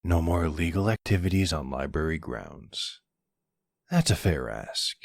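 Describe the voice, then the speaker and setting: A man playing a charming, smooth-talking mafia boss in an ASMR roleplay, wry and flirtatious. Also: very deep voice, animated, measured pace, no room sound, studio quality, full character voice. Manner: flirtatious, wry, exasperated with his cousin. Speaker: voice actor.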